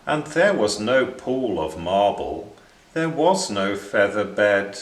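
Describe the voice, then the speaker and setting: A man reading a children's story aloud in an expressive narrating style. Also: lowish voice, takes it slowly. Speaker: story reader. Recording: echoing room.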